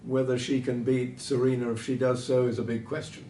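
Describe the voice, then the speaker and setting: A man gives unscripted sports analysis in a mid-pitched voice. He lays out firm opinions in short, measured phrases. The sound is echoey, with a faint rumble behind him. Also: little pitch movement, mellow. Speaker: tennis pundit. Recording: roomy, distant mic with background rumble.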